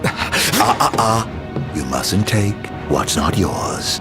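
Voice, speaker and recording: A man's voice: on the low side, very animated, drawn-out words, exaggerated voice. A man playing a sly immortal villain in a video game, drawling theatrically and taunting with mock courtesy. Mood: grandly mocking. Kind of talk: video game cutscene acting. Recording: music behind the voice.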